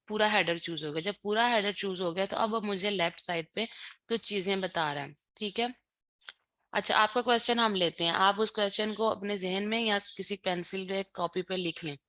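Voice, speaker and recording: A woman giving a live software lesson, explaining step by step, often checking understanding and mixing in English terms. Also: mid-range voice, no room sound. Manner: mixes English terms and phrases into speech. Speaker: online tutorial instructor.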